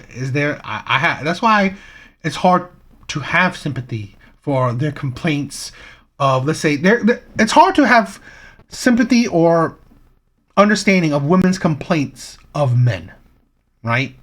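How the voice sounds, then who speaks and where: A man's voice: on the high side, animated, a little slow, a bit bright. A man hosting a reaction channel, giving opinionated commentary with rhetorical questions and frequent "right?" checks.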